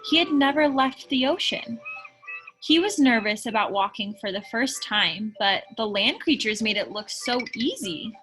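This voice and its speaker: A woman reading a children's picture book aloud in an expressive storytelling style. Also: fairly high pitch, moderate pace. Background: music behind the voice.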